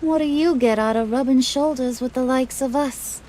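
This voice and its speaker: A woman's voice: high voice, moderate pace, warm tone, a little hiss or hum, natural voice. A woman playing a playful, menacing young female villain in a video game, slangy and mock-sweet in her taunts. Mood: needling. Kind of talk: video game character acting.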